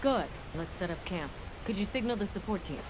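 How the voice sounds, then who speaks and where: A woman's voice: mid-range voice, animated, brisk, noisy background, natural voice. A woman playing a composed, no-nonsense monster hunter in a video game, firm and businesslike. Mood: firm, focused. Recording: loud music over the voice. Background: loud game music.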